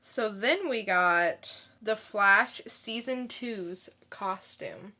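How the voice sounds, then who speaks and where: Female co-host, bright mid-range voice, slow-paced casual chat with short reactions and comments. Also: medium pitch. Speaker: podcast co-host.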